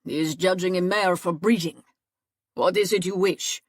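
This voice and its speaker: A woman voicing a big, gruff male knight who speaks curtly and sternly. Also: fairly low pitch, steady tone, moderate pace, no room sound, studio quality, natural voice.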